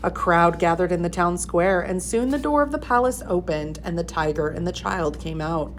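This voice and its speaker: A woman reading a storybook aloud in expressive narration. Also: fairly low pitch, moderate pace, slight background noise. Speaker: storybook reader.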